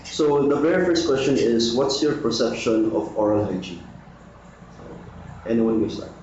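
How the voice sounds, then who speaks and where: A man's voice: medium pitch, normal speed, darkish tone. A man moderating a focus group, politely posing questions with fillers. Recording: echoey room, distant mic, lo-fi, light noise.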